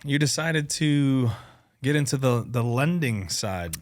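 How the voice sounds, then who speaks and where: Male podcast host, mid pitch, teasing, dry close mic. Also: animated, unhurried, no room sound. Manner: joking, teasing. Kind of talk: casual interview.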